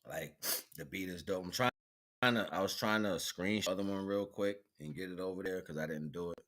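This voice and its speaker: A man's voice: low-pitched, fairly quick, fairly bright tone. A man chatting casually as a livestream host, rambling in slang and repeating catchphrases.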